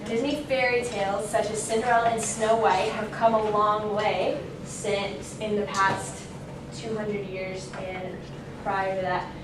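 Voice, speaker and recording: A woman's voice: mid-range voice, little pitch movement, measured pace. Young woman doing a casual student speech, really echoey noisy room, mic far away. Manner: casual, loosely worded, self-correcting. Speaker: student giving an informative speech. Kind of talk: informal classroom presentation. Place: indoor classroom. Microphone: distant camera microphone.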